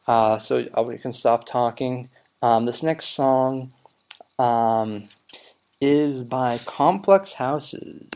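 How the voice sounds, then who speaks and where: A young man in his twenties hosting a casual solo podcast, rambling with lots of ums and low energy while getting over a cold. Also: darkish tone. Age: 20s. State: recovering from a cold. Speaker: podcast host.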